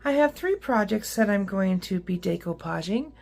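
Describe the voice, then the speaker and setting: A woman with a rough, hoarse voice hosting a casual craft how-to, chatty and upbeat with frequent ums and uhs as she works at her craft table. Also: mid-range voice, animated, moderate pace, darkish tone. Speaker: craft tutorial host.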